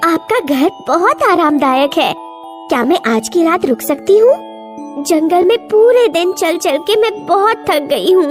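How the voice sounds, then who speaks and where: A woman voicing a tiny, gentle, kind-hearted fairy-tale girl in a children's cartoon story, polite and pleading. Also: very high voice, expressive, measured pace, soft background music. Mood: weary, hopeful. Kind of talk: children's animated story dub.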